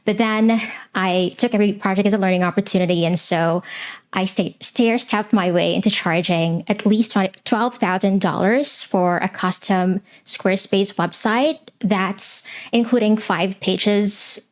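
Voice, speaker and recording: A young adult woman from the Philippines, English not her first language, answering interview questions in an earnest, measured way with frequent pauses. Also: medium pitch. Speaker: web designer guest.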